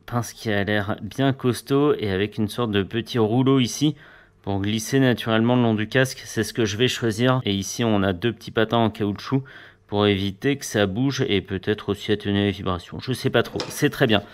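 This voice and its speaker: A man's voice: fairly low pitch, clean tone. A man giving a casual, chatty hands-on product review in an informal way.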